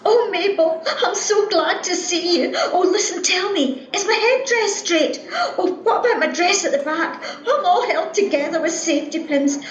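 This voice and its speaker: Very high-pitched, fluttery pageant contestant. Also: female voice, moderate pace. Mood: gushing. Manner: breathless gushing chatter. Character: gushing, fluttery female pageant contestant, anxious and excitable. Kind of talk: radio play acting. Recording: muffled, echoey home recording.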